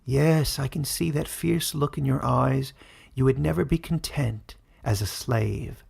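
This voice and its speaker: A man's voice: medium pitch, measured pace, creaky, darkish tone, breathy edge, heavily acted. A man voicing a cruel, mocking woman ruler in a fantasy audiobook, haughty and theatrical in delivery. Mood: cold threat. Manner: scornful, sneering, theatrical. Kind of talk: dramatic fantasy audiobook character reading.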